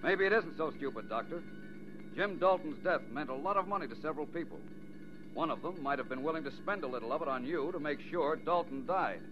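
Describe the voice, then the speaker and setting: A man's voice: fairly high pitch, lively intonation, fairly quick, music in the background, close to an everyday voice. A man playing a suave, self-assured American private detective in a 1940s radio drama, speaking in a polished, formal, wry way. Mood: probing. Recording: muffled, noisy 1940s radio recording.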